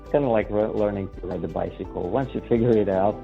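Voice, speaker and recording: Gravelly low-voiced man from Yugoslavia. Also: fast talker. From Yugoslavia, in a Hungarian family. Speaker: guest, energy healer. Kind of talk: conversational storytelling in an interview. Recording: thin phone-like sound, faint music.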